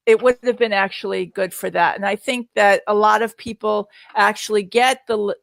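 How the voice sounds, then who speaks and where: Middle-aged woman with a creaky voice. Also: medium pitch, no room sound. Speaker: guest answering viewer questions. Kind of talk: casual live Q&A.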